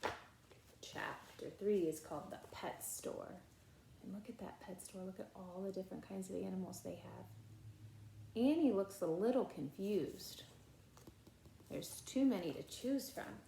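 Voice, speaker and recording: A woman storyteller reads a picture book for young children at an unhurried pace. Her voice sits at a medium pitch, and she draws out words with expressive emphasis, dropping in casual asides. The room around her is noticeably echoey. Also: gravelly, slight background noise. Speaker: read-aloud storyteller.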